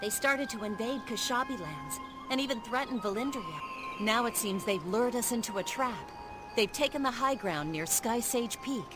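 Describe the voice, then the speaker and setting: A woman's voice: on the high side, animated, normal speed, lightly acted. An actress playing a confident, teasing female military commander in a video game, wry and poised. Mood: grave, coaxing. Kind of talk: video game character acting. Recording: game music behind.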